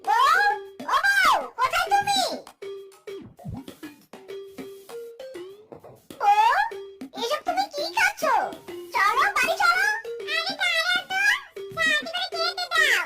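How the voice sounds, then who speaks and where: An actor voicing a whiny, excitable, henpecked husband in a children's fox cartoon, full of exclamations. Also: extremely high pitch, a little slow, long pauses. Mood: agitated. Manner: exclamatory, with a repeated catchphrase. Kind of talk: exaggerated children's cartoon voice acting. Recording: very echoey, distant, music underneath.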